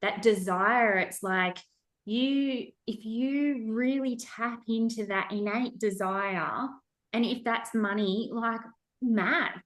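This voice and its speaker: A woman's voice: a little high, slow pace, fairly bright tone. Easygoing woman podcast host. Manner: easygoing, laughs often, loose and chatty. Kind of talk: casual interview chat.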